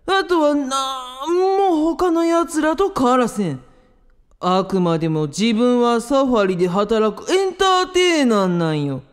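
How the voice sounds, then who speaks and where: A woman's voice: sits high, clean tone, long reverb tail. A woman voicing a bossy male show organizer in comic rakugo storytelling, with a Kansai accent and a condescending drawl.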